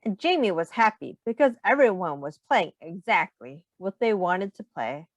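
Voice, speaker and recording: Woman, read-aloud narration, instructive and deliberate, lively intonation, close dry sound. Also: mid-range voice, no echo. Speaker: teacher reading a picture book aloud.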